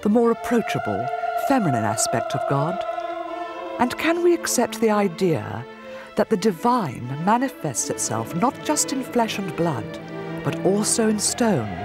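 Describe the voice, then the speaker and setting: A woman reading a documentary narration in a measured, expressive way. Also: medium pitch. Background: music.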